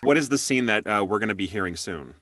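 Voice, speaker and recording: Bright-voiced male interview host. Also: on the low side, animated, quick pace, no room sound. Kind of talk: casual interview hosting.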